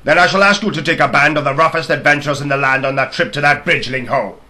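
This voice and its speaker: A man voicing an evil, ruthless king in a storybook reading, pompous and deliberate, with cold menace. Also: a little high, pushed and bright, pitched above the performer's own voice.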